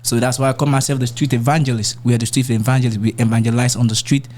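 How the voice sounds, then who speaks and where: A young man with a Nigerian accent talks as a musician guest on a podcast. His delivery is casual and somewhat halting, and his voice sits at a middle pitch. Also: little pitch movement, a little mellow, a little hiss or hum. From born in Nigeria, raised in Dallas. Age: young adult. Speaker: musician being interviewed. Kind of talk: casual podcast interview talk.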